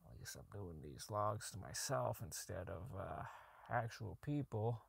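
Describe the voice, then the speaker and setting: An actor playing a socially inept, bitter robotics engineer in an audio drama log, rambling, halting, swearing and putting himself down. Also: male voice, lowish voice, lively intonation, gravelly, slightly rough, dry recording, exaggerated voice. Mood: self-doubting, muttering. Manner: rambling, halting, profane, self-deprecating. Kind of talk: audio drama monologue as a recorded personal log.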